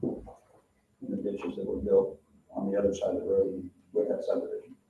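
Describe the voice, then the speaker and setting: Male, fairly low, flat delivery in informal discussion; tinny, distant recording. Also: moderate pace, gravelly. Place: echoey meeting room, picked up from afar. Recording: thin, echoey, distant audio with faint noise.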